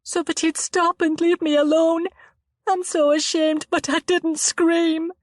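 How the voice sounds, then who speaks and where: A woman voicing a young woman who is shaken and tearful after an attack, speaking in a halting, trembling way. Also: little pitch movement, no room sound, studio quality. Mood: upset. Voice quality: trembling, halting and upset, rising higher when distressed. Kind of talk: dramatized audiobook reading.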